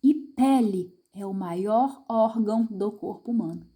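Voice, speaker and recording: A woman's voice: medium pitch, measured pace, dark tone, slightly rough. A middle-aged Brazilian woman teaching a science lesson to children, chatty and full of tag questions, asking and answering her own questions. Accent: Brazilian Portuguese. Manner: frequent tag questions to the viewer.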